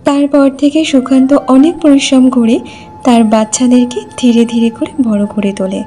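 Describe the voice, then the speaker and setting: A woman's voice: sits high, fairly even intonation, moderate pace, warm tone, faint music behind. A woman narrating a scripted cartoon folk tale as the storyteller.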